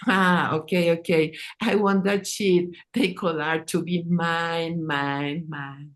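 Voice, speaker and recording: A woman, not an English speaker, presenting her own work in a halting, informal way, repeating words. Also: on the low side, steady tone. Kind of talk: informal artist talk and reading.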